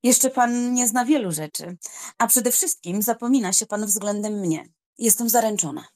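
A woman plays a stern young lady of noble birth in a dramatized novel. Her fairly high voice is haughty and formal, with cold, unyielding scorn. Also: normal speed, a little mellow, lightly acted. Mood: cold, offended. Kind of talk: audiobook drama reading.

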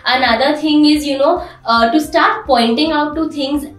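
A woman's voice: sits high, normal speed, fairly bright tone, faint music behind. A woman in her thirties explaining child development in an interview, using many "you know" fillers. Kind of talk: interview answers. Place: small bright studio, indoors. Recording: roomy, distant studio sound.

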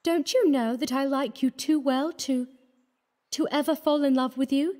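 A man reading an audiobook, voicing an earnest, pleading young woman of the artists' quarter in a soft, reproachful manner. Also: fairly even intonation, moderate pace. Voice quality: high and fluttering.

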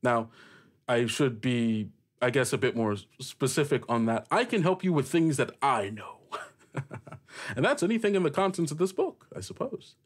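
A man's voice: medium pitch, lively intonation, moderate pace, husky. A man voicing a friendly, flustered talking magic book that rambles familiarly in improvised tabletop roleplay. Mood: friendly, reassuring.

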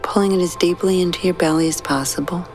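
A woman guiding a sleep meditation in a slow, soothing, hushed manner with long pauses. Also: fairly low pitch, fairly even intonation. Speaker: meditation guide. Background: soft background music.

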